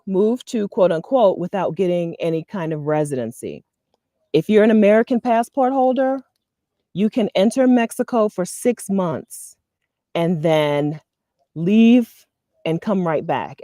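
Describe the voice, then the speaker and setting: A woman in her thirties from the US East Coast, talking casually to camera with advice, chatty and animated, often laughing and checking in with "okay?". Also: on the low side, no echo, clean studio sound. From Delaware, US East Coast. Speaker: vlogger and expat community host. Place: outdoors in front of a leafy green wall.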